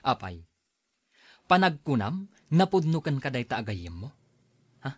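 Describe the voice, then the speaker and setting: A man's voice: medium pitch, very animated, slow, slightly rough, no echo, exaggerated voice. A man acting in an Ilocano radio drama as an irritable, defensive husband, with an Ilocano Filipino accent. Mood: scornful, angry. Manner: exasperated, dismissive.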